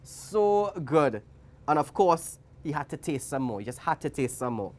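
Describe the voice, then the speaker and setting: Animated Trinidadian woman reading a story. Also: extremely low pitch, fairly quick. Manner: animated, theatrical, playful. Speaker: guest story reader for children. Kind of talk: expressive storybook read-aloud with chatty asides to children.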